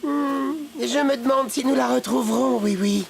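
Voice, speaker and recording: A man's voice: very high voice, moderate pace, creaky, music in the background. A man voicing a timid, fussy, kindly robot with hesitant speech in a French cartoon dub. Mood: anxious. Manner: hesitant, with repeated verbal tic.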